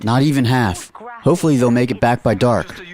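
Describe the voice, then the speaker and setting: A man's voice: medium pitch, moderate pace, lightly acted. An actor playing a wry man defending his neighborhood in a radio drama, given to quips. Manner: wry, quipping. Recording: loud urban ambience noise.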